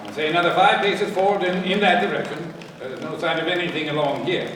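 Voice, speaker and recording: An actor playing a commanding police inspector in a radio play, brisk and authoritative. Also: male voice, fairly high pitch, steady tone, natural voice. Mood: brisk, businesslike. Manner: authoritative, brusque. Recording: echoey room, distant mic, faint noise.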